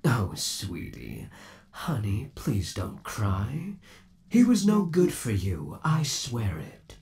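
A man performs as a menacingly doting undead boyfriend in an ASMR horror roleplay. His low voice moves slowly, sweet and possessive, with theatrical swings up and down in pitch. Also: wildly swooping pitch, a little mellow, heavily acted. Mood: pleading, possessive. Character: undead yandere boyfriend trapped in springlock suit, menacingly doting. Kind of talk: ASMR roleplay, scripted monologue to the listener.

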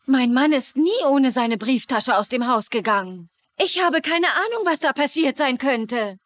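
An actress playing a prim, insistent widow who speaks earnestly and defensively in a dubbed crime drama. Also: female voice, high-pitched, lively intonation, measured pace, a bit bright, no room sound.

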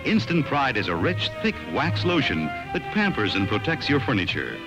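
A man's voice: medium pitch, huge pitch swings, moderate pace, music in the background, poor audio. A man narrating a 1960s television ad as its announcer, on a vintage soundtrack. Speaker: commercial announcer.

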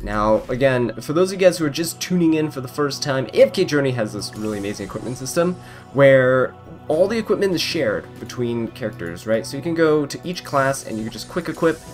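Young male gaming creator, casual and amused, animated delivery, prone to tangents, light music underneath. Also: medium pitch, a little fast. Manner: chatty, amused, given to tangents. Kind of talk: casual gameplay commentary. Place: voice-over recorded apart, game music behind.

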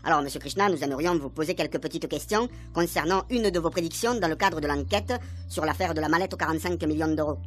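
A man's voice: fairly high pitch, quick pace, soft background music, natural voice. A man playing a stern, easily exasperated police commander in a French radio comedy serial, speaking formally. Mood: formal, businesslike.

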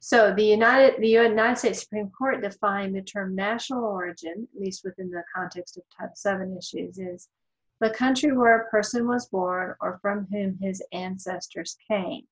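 A woman law instructor narrating a slide lecture in a thoughtful, explanatory way, pausing with ums and restarting her sentences. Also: mid-range voice, low-quality recording.

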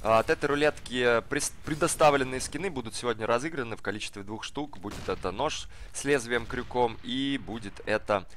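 A hoarse man commentating a live online game match in a casual, slangy way, speeding up during the action. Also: medium pitch, brisk, hardly any pauses, a bit bright, slight background noise. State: hoarse from the cold. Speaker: esports commentator. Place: cold flat at home.

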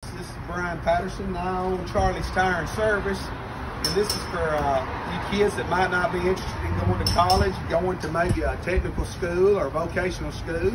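A man's voice: high-pitched, fairly even intonation, normal speed. A middle-aged man, a tire shop owner giving a folksy walking tour, recorded by a distant camera in a repair shop. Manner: folksy, encouraging, plain-spoken. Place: echoey auto repair shop. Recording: roomy, distant, noisy shop. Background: shop ambience and vehicles.

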